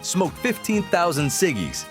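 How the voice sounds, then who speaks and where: A man with a fairly high voice reads a short comic story as a dramatic narration. Quiet music sits behind him. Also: wildly swooping pitch, a little slow. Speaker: guest narrator.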